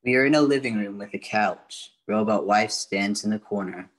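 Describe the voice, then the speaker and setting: Low-voiced older man narrating a radio play. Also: moderate pace, soft background music. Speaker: announcer and narrator.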